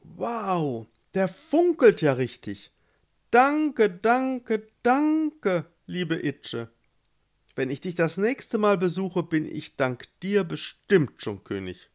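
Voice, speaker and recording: A man's voice: fairly high pitch, a little slow, vocal fry, voice pushed up. A man voicing a young, unlucky prince who whines and pities himself. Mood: excited. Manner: complaining, excitable. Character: young unlucky prince, whiny and self-pitying.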